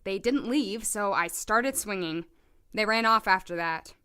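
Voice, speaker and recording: A woman's voice: medium pitch, expressive, unhurried, sharp tone, clear, resonant tone. A woman playing a composed, plucky woman doctor in an audio drama, speaking politely and directly. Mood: recounting.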